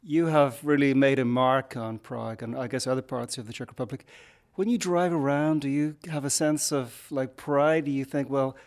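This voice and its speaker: A man's voice: mid-range voice, normal speed. A man hosting a podcast, asking polite, precisely phrased questions and reading the outro. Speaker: podcast host, interviewer. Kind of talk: interview questions and scripted outro.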